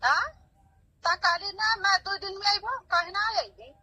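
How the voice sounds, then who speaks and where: A woman's voice: very high voice, unhurried, bright, forceful tone, dry recording. A middle-aged woman with a Bhojpuri accent, a mother scolding and brushing off the other person on a phone call. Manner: scolding, dismissive. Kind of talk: phone argument.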